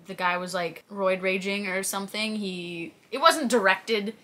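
Female, 20s. Bright mid-pitched voice, casual storytelling vlog delivery, acts out quotes. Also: steady tone, unhurried. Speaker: fitness content creator. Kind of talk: casual chat, answering viewer questions to camera. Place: small plain indoor room, seated.

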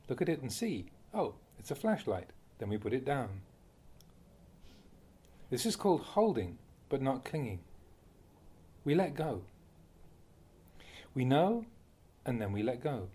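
A man reading a Buddhist Dhamma talk aloud as a monk, deliberately, in short phrases with pauses. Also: mid-range voice, dark tone, breathy edge. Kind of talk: read-aloud Dhamma talk, measured teaching.

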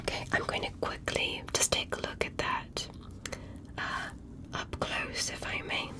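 A woman playing a polite, curious alien doctor in an ASMR medical roleplay, speaking gently and carefully in a breathy whisper with formal, reassuring phrasing. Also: unhurried, close to an everyday voice. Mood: careful, focused. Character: polite, curious female alien doctor examining a human patient.

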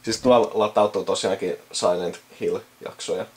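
A man's voice: sits low, fairly even intonation, husky. A young man in casual chat, thinking aloud in a rambling way and often trailing off. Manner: rambling, trailing off mid-sentence. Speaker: video host recording himself with a friend. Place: indoors at a computer.

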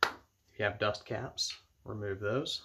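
A man giving measured, matter-of-fact step-by-step instructions as he demonstrates an equipment setup indoors at a table. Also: lowish voice. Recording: clear background noise with clicks.

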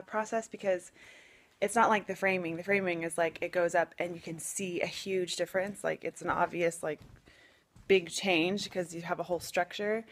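Chatty young woman vlogging on her phone. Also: lowish voice. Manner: chatty, thinking aloud with fillers and small laughs. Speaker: family vlogger. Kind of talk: casual home-building vlog. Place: house under construction and a back porch. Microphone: phone held at arm's length.

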